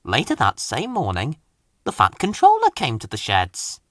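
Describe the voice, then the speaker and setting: A man reading a children's storybook aloud in an expressive, dramatic way. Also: mid-range voice, moderate pace, no echo, clean studio sound. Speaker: story narrator. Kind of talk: scripted children's storybook narration.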